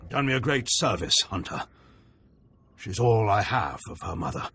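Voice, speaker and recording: Refined, exasperated noble father, husky and animated. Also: fairly low pitch, normal speed, exaggerated voice. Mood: formal. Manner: formal, exasperated. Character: worried aristocratic Sith father, formal.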